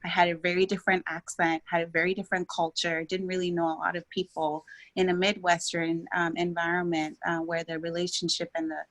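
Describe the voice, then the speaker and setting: A woman from Ghana speaks on a panel about her working life. Her voice sits a little low and stays very level in pitch. She comes across as direct. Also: flat delivery, fairly quick, hardly any pauses, fairly bright tone. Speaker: panelist, operations and strategy leader. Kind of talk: panel discussion, sharing career advice.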